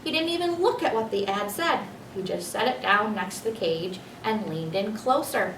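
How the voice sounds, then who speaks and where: A woman narrates a children's storybook expressively at a middle pitch. She sounds a bit away from the microphone in an echoey room, with faint noise behind her. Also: animated, moderate pace, a bit bright, recorded from a distance. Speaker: teacher reading a children's book aloud.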